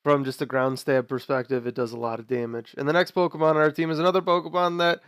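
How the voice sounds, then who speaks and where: A young man with a slightly high voice, nasal and a bit subdued from being sick. He chats casually about his game team, with plenty of fillers and restarts, and his tone stays smooth and clear. The recording is dry and close to the mic. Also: little pitch movement, fast, fairly bright tone, no echo. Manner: chatty, explains team choices with fillers and restarts. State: sick, nasal and quieter than usual. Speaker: gaming video host. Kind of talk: casual gameplay commentary.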